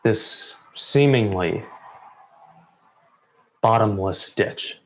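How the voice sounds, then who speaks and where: A man delivering a prepared closing address in deliberate phrases broken by pauses. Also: lowish voice, a bit bright, poor audio. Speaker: member of a student theatre group giving closing remarks.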